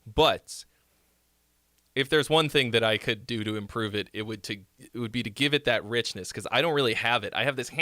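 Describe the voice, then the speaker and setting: A man's voice: mid-range voice, a little slow, pushed and bright, dry recording, clean studio sound. Nasal-voiced man giving casual song feedback. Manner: rambling, many fillers and restarts. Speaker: music critique livestream host.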